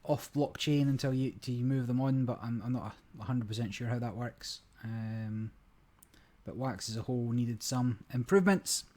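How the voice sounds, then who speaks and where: A man hosting a gaming channel, talking through a card game in a relaxed, rambling way, his voice a little croaky. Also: mid-range voice, normal speed. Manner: relaxed, rambling, many fillers. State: slightly croaky voice. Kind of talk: casual gameplay commentary.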